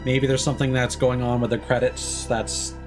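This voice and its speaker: A man's voice: mid-range voice, little pitch movement, normal speed. Man musing aloud over faint music. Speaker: game streamer. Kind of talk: casual live game commentary. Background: faint game music.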